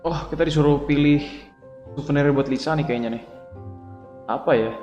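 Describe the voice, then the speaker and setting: A man's voice: on the high side, a little fast, a little mellow, dull audio. A man with an Indonesian accent giving casual commentary as he streams video game play. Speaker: gameplay streamer. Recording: echoey reverberant voice over music.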